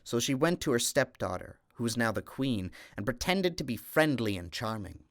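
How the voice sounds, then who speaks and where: A man reading a fairy tale aloud, steady storytelling that slows for emphasis. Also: medium pitch, no echo, studio quality.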